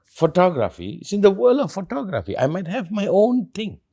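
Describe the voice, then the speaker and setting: Lively, musing man. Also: high voice, brisk. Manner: animated, musing, playful teasing. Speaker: meditation teacher and show host. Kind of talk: casual conversation.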